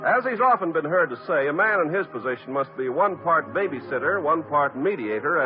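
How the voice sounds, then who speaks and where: An American man announces a vintage radio programme with a fairly high, very animated voice. The sound is thin and tinny, with music behind him.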